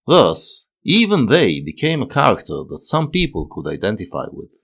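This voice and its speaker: Male essay narrator, lowish voice, highly varied intonation, explanatory, measured phrasing. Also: wildly swooping pitch, gravelly, a bit bright. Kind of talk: scripted analytical narration.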